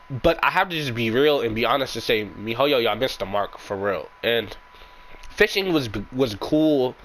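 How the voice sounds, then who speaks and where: A young man with a sick, raspy voice, giving frank, rambling opinions as a gaming YouTuber in casual commentary. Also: medium pitch, fairly bright tone, low-quality recording.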